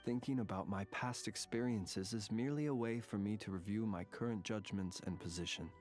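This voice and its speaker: An actor playing an ancient dragon prince in a video game, calm and reflective, with formal, measured, courteous speech. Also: male voice, fairly low pitch, faint music behind, lightly acted. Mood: gentle, reassuring. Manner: formal, measured, old-fashioned courteous phrasing. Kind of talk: scripted game character dialogue.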